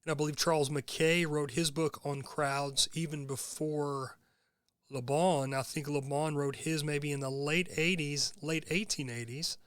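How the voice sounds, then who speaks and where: A man hosting his own podcast, hoarse from being sick, talking in an earnest, rambling monologue full of fillers. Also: fairly high pitch, steady tone, unhurried, fairly bright tone, no room sound. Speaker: podcast host. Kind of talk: casual monologue.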